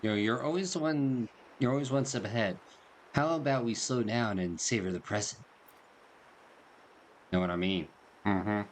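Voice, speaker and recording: Man, gaming host, fairly low pitch, casual, jokey, slangy, slight hiss. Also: normal speed, pauses often. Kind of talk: casual joking playthrough commentary and dialogue reading.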